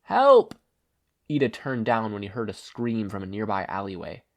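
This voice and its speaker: A man's voice: lowish voice, steady tone, brisk. A man reading a story aloud in a scripted narration style. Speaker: podfic reader.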